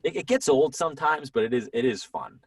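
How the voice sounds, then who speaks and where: A man's voice: medium pitch, breathy edge, no room sound, distant mic. A man in his thirties with a Boston accent, a guest voice actor in a casual podcast chat who tells his stories with animation. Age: 30s. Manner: animated storytelling, quick voice switches.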